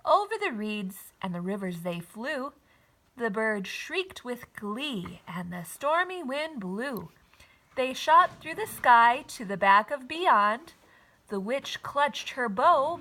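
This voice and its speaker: A woman narrating a children's story expressively, with a deliberate, rhythmic rhyming delivery. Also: sits high, sing-song, bright, forceful tone, rough recording. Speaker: storybook reader.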